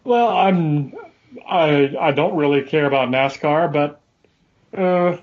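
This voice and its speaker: A man's voice: on the high side, slow pace. A man from Alabama chatting casually and cracking wry jokes. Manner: wry, joking. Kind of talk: casual chat. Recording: dull lo-fi sound.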